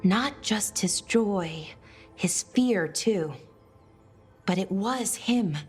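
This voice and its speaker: An earnest young female scholar from a fantasy game. She speaks slowly and hesitantly at a middling pitch, anxious but hopeful, over faint music. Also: animated, slightly rough, heavily acted. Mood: troubled, uncertain. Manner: hesitant, trailing afterthoughts. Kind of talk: video game voice acting.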